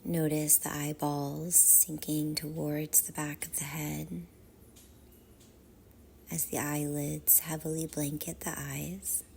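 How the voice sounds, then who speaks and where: A woman leading a guided meditation in a soft, half-whispered, soothing voice, with measured phrases and long pauses. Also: low-pitched, little pitch movement, creaky, slight background noise.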